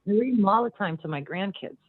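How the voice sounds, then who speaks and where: A woman's voice: mid-range voice, animated, fast talker, slightly rough. Middle-aged woman on a phone-like line. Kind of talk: casual personal sharing. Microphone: video call. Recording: very thin, phone-like call audio.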